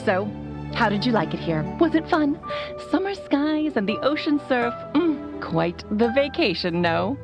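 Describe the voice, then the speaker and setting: A woman's voice: on the high side, very animated, a little slow. A woman playing a theatrical, teasing, doting sorceress mother in a video game. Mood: teasing, breezy. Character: playful, theatrical, doting sorceress mother speaking through a phonograph. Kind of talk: animated video game dialogue. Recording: music behind the voice.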